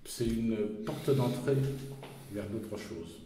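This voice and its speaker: A man's voice: medium pitch, lively intonation, slow, mellow. A man working as a radio interviewer, chatting casually with his guests. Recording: very echoey, slightly distant, background noise.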